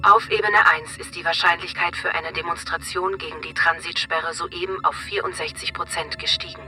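A performer playing a calm, matter-of-fact predictive policing computer in a radio drama, measured and formal. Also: medium pitch, gravelly, over music. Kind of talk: radio drama, system announcements.

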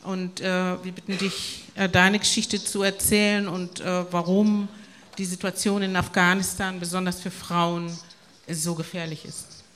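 A woman moderates a live panel with a bright, forward voice. She speaks very slowly and deliberately, hesitating as she builds each question. Faint noise sits behind her. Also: on the low side, level tone. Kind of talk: moderating a public panel discussion.

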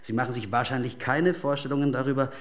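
Smug, evasive music manager, played by an actor. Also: male voice, mid-range voice, fairly even intonation, fast, natural voice. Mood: condescending, cool. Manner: condescending, polished, formal. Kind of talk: German radio crime drama acting.

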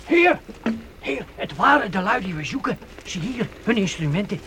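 A man's voice: sits high, big pitch swings, moderate pace, pushed and bright, slight background noise, heavily acted. An actor playing a timid, servile medieval man-at-arms who stammers and keeps answering "my lord" in a radio drama. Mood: eager. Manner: meek and stammering, answering with "heer".

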